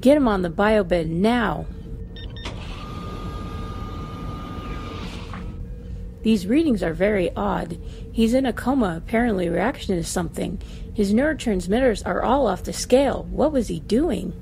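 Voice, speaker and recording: A woman playing a brisk, authoritative starship doctor in a science fiction audio drama, clipped and clinical in manner. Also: medium pitch, lively intonation, gravelly, dark tone, slight background noise. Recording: music behind the dialogue.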